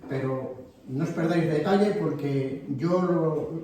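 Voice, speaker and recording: Man organizing a local meeting, mellow voice, rambling and indignant, captured from afar in a very echoey hall. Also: a little high, a little slow, a little hiss or hum. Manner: animated, rambling, indignant asides. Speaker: event organizer. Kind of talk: addressing a live audience. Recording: very echoey hall, distant mic.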